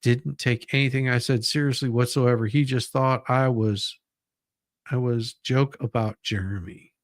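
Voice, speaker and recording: A man with a slow, measured pace reads documents aloud and adds his own commentary. The sound is close and dry, and his delivery is halting, often circling back over phrases. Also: mid-range voice, steady tone, dry recording. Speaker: commentary show host.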